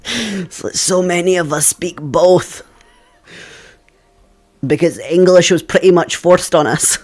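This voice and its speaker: A man's voice: sits high, takes it slowly, faint music behind. A young Scottish man streaming a puzzle game, chatting with viewers, thinking aloud and laughing often. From Scotland. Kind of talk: live game stream chat.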